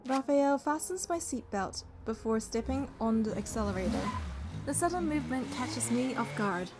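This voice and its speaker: A woman's voice: on the high side, animated, normal speed. A woman voicing a young romance game heroine, narrating and speaking in a composed, scripted way. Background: music.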